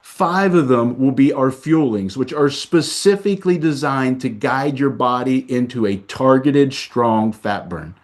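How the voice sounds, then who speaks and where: A man at a mid pitch for his sex, reading a prepared health pitch slowly and with stress on key words. He presents as a doctor, each line deliberate and polished, like a rehearsed webinar script.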